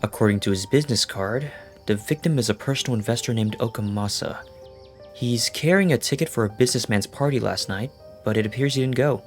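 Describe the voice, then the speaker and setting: A man's voice: mid-range voice, brisk, faint music behind, lightly acted. A man playing a dutiful police officer in an anime dub, speaking in an official, reporting manner. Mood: businesslike.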